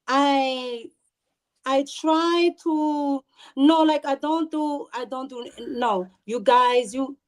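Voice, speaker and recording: A woman from the Bronx speaking as a podcast guest, chatting informally and haltingly, often repeating words. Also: sits high, rough recording. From the Bronx, New York. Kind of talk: casual interview chat.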